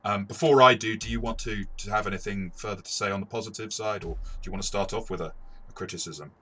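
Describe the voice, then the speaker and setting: A man in a conversational game review, speaking in a hesitant, halting way and often correcting himself. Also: on the low side, steady tone, pushed and bright, a little hiss or hum. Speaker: game reviewer and experienced game master.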